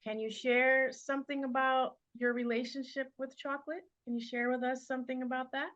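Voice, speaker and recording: A woman with a slightly high voice moderates a webinar over a video call. She sounds friendly and organized, with a few "uh" fillers, and the sound is dull and lo-fi. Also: flat delivery, fairly quick, fairly bright tone. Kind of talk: hosting a webinar.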